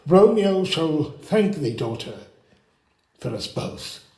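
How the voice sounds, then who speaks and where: Man playing a slow, solemn friar in echoey room. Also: on the high side, big pitch swings, a little mellow, full character voice. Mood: gentle, brisk. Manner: solemn, deliberate, measured verse delivery. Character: grave, cautioning male friar, fatherly priest. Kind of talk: Shakespeare audio drama acting. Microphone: phone, recorded at home. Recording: echoey room, home phone recording.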